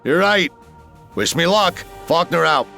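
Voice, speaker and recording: A defiant sci-fi army major, talks back with scorn. The voice is gritty with fry, bright and lively in pitch, combative in the manner of a game hero. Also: male voice, unhurried, soft background music, exaggerated voice. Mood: confident. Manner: sarcastic, tough, combative. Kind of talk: video game acting.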